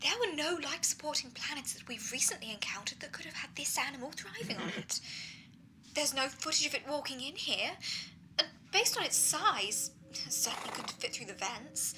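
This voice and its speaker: A woman playing a spaceship's systems voice in an audio drama, measured and formal. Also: sits high, expressive, music in the background, poor audio. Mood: puzzled. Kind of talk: scripted audio drama narration.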